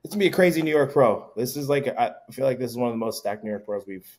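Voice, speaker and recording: A man in his mid-thirties co-hosting a casual chat, easygoing and quick to agree. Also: medium pitch, animated, darkish tone. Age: 30s. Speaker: podcast co-host.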